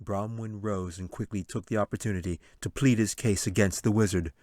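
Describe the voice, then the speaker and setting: A man's voice: on the low side, fairly even intonation, a little fast, darkish tone, no echo, studio quality. A man narrating a fantasy audiobook in a dramatic, scripted storytelling manner. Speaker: author reading his audiobook.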